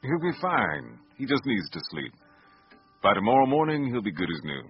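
An actor playing a reassuring, wry small-town doctor with a husky voice and folksy manner in a radio drama. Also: male voice, lowish voice, big pitch swings, moderate pace, soft background music. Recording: dull lo-fi radio audio.

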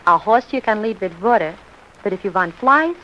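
An actress playing a shrewd, soothing middle-aged mama with a New York Yiddish-inflected accent and muddled folksy grammar, in 1940s radio comedy style. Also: female voice, medium pitch, animated, moderate pace, sharp tone, a little hiss or hum. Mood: knowing. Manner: malapropisms and inverted, folksy grammar. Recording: muffled vintage radio recording.

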